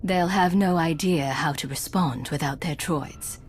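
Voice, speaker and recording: An actress playing a composed, calculating secret agent and commander in a video game, with a husky voice and measured, restrained delivery. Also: female voice, sits low, a little hiss or hum. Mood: guarded, cool. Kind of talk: video game cutscene acting.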